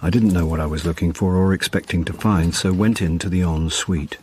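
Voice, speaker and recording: A deep-voiced, middle-aged amateur detective, played by a man in a radio drama. He is wry, understated and put-upon, both narrating and talking, with faint noise behind him. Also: fairly even intonation, fairly quick, a little mellow, lightly acted. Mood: understated, watchful. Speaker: narrator and lead character. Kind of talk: first-person radio drama narration and dialogue.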